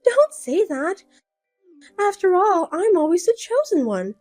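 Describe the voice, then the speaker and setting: Woman putting on a high, bouncy voice, smug scheming little sister, taunting sing-song lines, faint music underneath. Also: sing-song, a little slow, darkish tone, full character voice.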